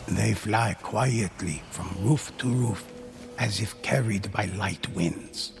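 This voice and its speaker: An actor playing a solemn male storyteller who narrates legends in a game, with a slow, grave, measured delivery. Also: lowish voice, vocal fry. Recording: game audio with loud music.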